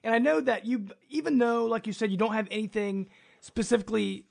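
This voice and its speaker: A man's voice: sits high, brisk, gravelly. A man hosting a casual podcast, talking in an enthusiastic, informal way. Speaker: podcast host. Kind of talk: casual gaming podcast chat.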